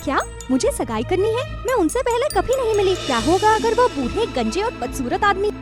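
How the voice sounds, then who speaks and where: Woman doing a young cartoon fairytale girl, super high voice, headstrong, very expressive, music behind. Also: a little fast. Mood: dismayed. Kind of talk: animated fairy tale dialogue. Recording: clear music under the voice.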